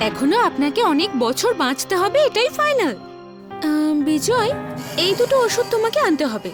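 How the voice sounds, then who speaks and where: A woman voicing a brisk, reassuring female village doctor in an animated story, chiding and emphatic. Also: very high voice. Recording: music behind the voice.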